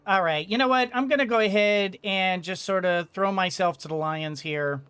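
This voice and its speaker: A woman's voice: mid-range voice, moderate pace, fairly bright tone, smooth. A woman giving casual let's play commentary, thinking aloud with hesitant phrasing as she goes. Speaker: gaming streamer.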